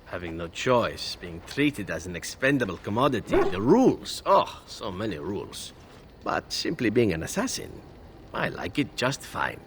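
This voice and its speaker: A roguish male elf assassin from a fantasy game, proud and flamboyant. His silky delivery swoops with a lively range over a middling-low male pitch. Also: moderate pace, background noise. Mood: proud, relishing. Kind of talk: fantasy video game dialogue.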